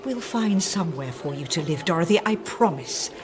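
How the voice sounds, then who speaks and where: A woman's voice: lowish voice, expressive, normal speed, a little mellow, lightly acted. A middle-aged woman playing a fussing, loyal, long-suffering friend of a star in a radio play. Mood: anxious, placating.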